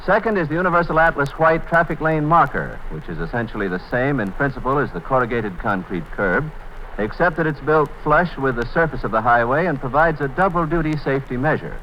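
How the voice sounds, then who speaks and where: A man announcing for the sponsor, reading a commercial in an earnest, steady manner. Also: medium pitch, a little hiss or hum. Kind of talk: read commercial. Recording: dull lo-fi 1950s radio broadcast.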